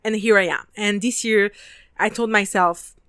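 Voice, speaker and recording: French woman, 20s, French accent, talkative podcast host; smooth, crisp voice at a mid pitch, dry close recording. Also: fairly even intonation, moderate pace, a bit bright, no room sound. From France (Paris). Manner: chatty and talkative, casual swearing, frequent laughter. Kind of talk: casual solo podcast monologue.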